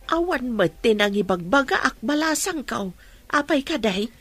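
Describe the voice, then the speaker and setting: An actress playing a questioning mother of a grown daughter in a radio drama, with a husky voice. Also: a little high, sing-song, moderate pace, fairly bright tone, no echo, soft background music, heavily acted.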